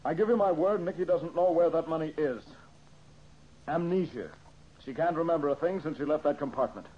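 An actor playing a composed, clever amateur detective, American, earnest and clipped, in old-time radio mystery style. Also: male voice, on the high side, fairly quick, lightly acted. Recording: muffled, hissy old radio recording.

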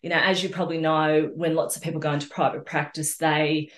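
A woman's voice: sits low, level tone, brisk. A middle-aged Australian woman talking casually as a guest in a podcast interview. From Australia, New South Wales. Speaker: podcast guest, social worker. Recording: roomy, echoing sound.

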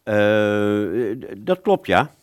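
A man playing a polite, old-fashioned gentleman in a radio play, formal and hesitant, with small stammers. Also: fairly low pitch, sing-song, drawn-out words, dry recording, studio quality, exaggerated voice. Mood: apologetic, explaining.

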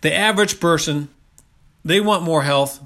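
A man's voice: a little high, normal speed, a bit bright. A man of about sixty giving a motivational health talk, speaking emphatically and repeating key phrases for stress. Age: around 60.

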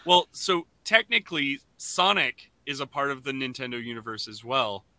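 A man's voice: mid-range voice, lively intonation, moderate pace, bright, forceful tone, dry recording. A man co-hosting a podcast, speaking in casual chat. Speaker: podcast co-host.

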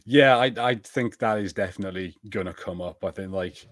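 A man's voice: deep voice, a little fast, dry recording, clean studio sound. A man co-hosting a podcast, chatting casually about TV and tentatively thinking aloud. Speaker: podcast co-host. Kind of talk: casual TV review chat.

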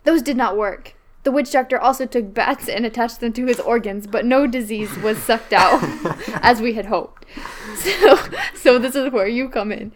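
Woman co-host, fairly high voice, reads an email aloud, laughs as she goes. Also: moderate pace. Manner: giggles through her reading. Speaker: podcast co-host. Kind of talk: reading a joke email aloud.

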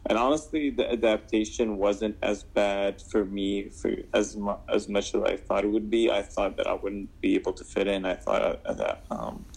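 A man's voice: on the low side, steady tone, normal speed, gravelly, hardly any pauses, a little mellow, slightly rough, poor audio. A young man from Syria answering interview questions casually, with many fillers and restarts. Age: 20s. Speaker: guest.

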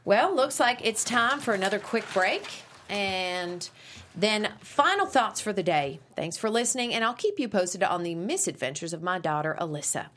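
An actress reading a pushy conservative talk radio host mother at a script table read, brisk and scolding. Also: mid-range voice, lively intonation, fairly bright tone, a little hiss or hum, lightly acted. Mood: prim.

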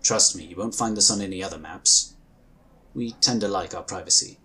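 An actor playing a courteous, reassuring inquisitor of a magical order in a sci-fi audio drama, speaking in formal, measured, polite phrases. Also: male voice, sits low, sharp tone, breathy edge, full character voice. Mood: apologetic, polite.

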